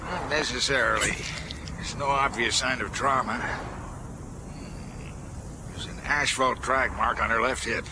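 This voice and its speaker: Casting: male actor as clinical American coroner for radio drama, brisk, mid-pitched, clear car noise behind. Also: natural voice. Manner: methodical, matter-of-fact. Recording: outdoor noise with cars behind.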